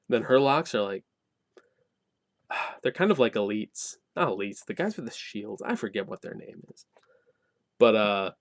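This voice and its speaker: A man's voice: mid-range voice, dry recording, studio quality. A man reviewing in a casual, unscripted way, with informal wording and emphatic stress on key points. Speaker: game review channel host.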